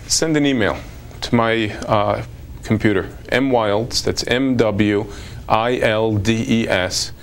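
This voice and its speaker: A man speaking as an immigration lawyer in a TV interview, measured and formal. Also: lowish voice, slight background noise.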